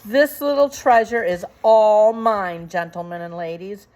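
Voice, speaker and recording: A woman's voice: mid-range voice, a little slow, darkish tone, close to an everyday voice. Woman as a sly, courtly rat villain. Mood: possessive, courtly.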